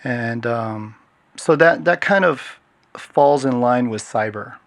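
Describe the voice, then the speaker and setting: A middle-aged man from Washington State speaking as an interview guest, telling his story in a relaxed, hesitant way with many fillers. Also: medium pitch, slow pace, dry recording. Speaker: guest, security consultant. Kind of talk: casual interview talk.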